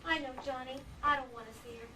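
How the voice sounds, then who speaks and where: A woman acting a grieving, resolute wife in a radio play. She speaks quietly in a slightly high voice, well away from the microphone on a noisy live stage recording. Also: quick pace, distant mic, natural voice. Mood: sad, subdued. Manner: subdued, quiet delivery. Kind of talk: old-time radio drama acting.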